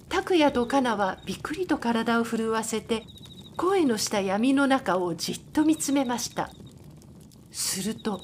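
A woman's voice: on the high side, a little hiss or hum. A woman reading scripted narration for a radio drama.

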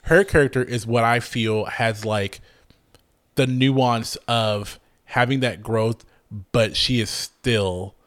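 A man co-hosting a casual podcast, reviewing a TV comedy with animated enthusiasm and lots of restarts. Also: mid-range voice, takes it slowly. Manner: animated, gushing, frequent restarts and swearing. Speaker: podcast co-host. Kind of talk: casual chat reviewing a TV show.

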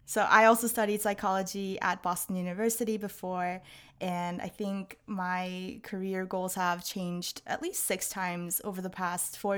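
Clear-voiced young woman interviewer. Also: mid-range voice. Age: 20s. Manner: chatty, laughs often. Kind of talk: casual conversation.

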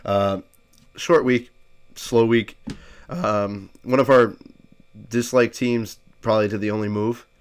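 A man's voice: fairly low pitch, fairly even intonation, slow pace, long pauses, clean tone. A man co-hosting a casual sports podcast, congested and stuffy, rambling with many fillers and restarts. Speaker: podcast co-host.

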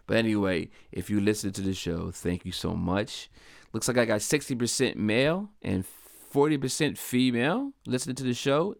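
A man from Delaware hosting his own podcast, talking in a casual, upbeat, chatty way and giving slangy shout-outs to listeners. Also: lowish voice, animated, normal speed, vocal fry, no echo, clean studio sound. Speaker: podcast host. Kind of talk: casual solo podcast monologue.

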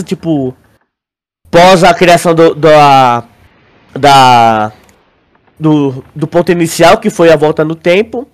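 A man with a Brazilian accent in a casual podcast discussion, explaining in a halting way, repeating words and often checking that his listener agrees. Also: sits high. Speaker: podcast co-host.

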